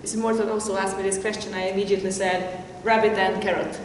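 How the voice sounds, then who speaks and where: A woman's voice: mid-range voice, little pitch movement, quick pace. Hungarian-native woman leading an exercise. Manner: chatty, laughing often. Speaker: workshop co-presenter. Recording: echoey hall, distant mic, background noise.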